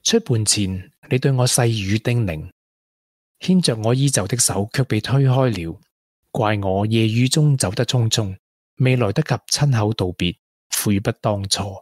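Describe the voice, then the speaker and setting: An actor playing a supportive, sensible young boyfriend in a Hong Kong Cantonese radio drama. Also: male voice, fairly low pitch, unhurried, no echo, clean studio sound. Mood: reading poems solemnly.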